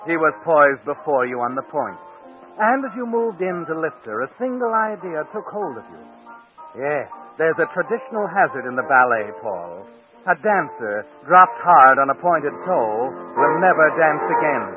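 An actor playing an ominous narrator in an old-time radio drama, speaking in a deliberate, insinuating, theatrical way. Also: male voice, on the high side, sing-song. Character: ominous male narrator addressing a guilty man. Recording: dull old radio recording with music.

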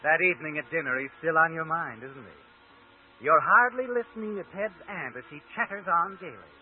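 An actor narrating an old-time radio suspense story in the second person as a knowing, ominous storyteller. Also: male voice, fairly high pitch, expressive, a little fast, a little hiss or hum, close to an everyday voice. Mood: sly, insinuating. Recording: old radio show, phone-like, music behind.

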